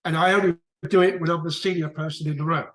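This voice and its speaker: A man's voice: a little high, steady tone, fast talker, a bit bright, reverberant. A man from the UK with a British accent, chatting casually in a book club discussion, rambling and often restarting his sentences. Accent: British English.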